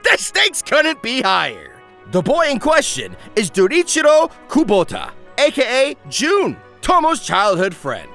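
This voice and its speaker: A man hosting an anime review with energetic, scripted comedic narration, delivered in a hammy, exclamatory manner. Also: very high voice, unhurried, creaky, faint music behind. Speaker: YouTube anime reviewer and host.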